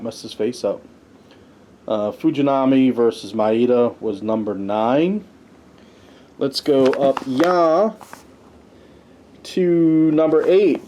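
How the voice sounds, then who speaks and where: A man with a soft, mellow voice and a middling pitch hosts a casual podcast. He talks conversationally, moving through a list and tossing in personal asides as he goes. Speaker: podcast host reviewing wrestling history. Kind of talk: casual commentary, reading a ranked list.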